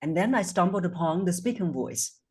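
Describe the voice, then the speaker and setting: Woman, 40s, voice coach guest; husky tone, measured and earnest delivery. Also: on the low side, animated, a little mellow. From Singapore. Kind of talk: interview guest answering questions.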